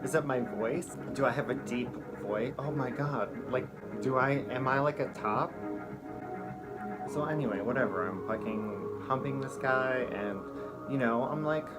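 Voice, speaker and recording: A man's voice: medium pitch, measured pace, loud music behind the voice. A middle-aged man, a drag performer, telling a rambling, profane comic story in a campy, chatty way from a small room at home. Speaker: drag performer and show host.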